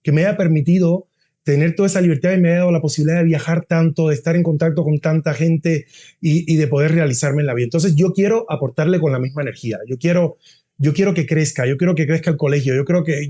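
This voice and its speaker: A man's voice: on the high side, fast talker, mellow. A middle-aged man, a university dean, talking earnestly in a casual interview and often restarting his phrases.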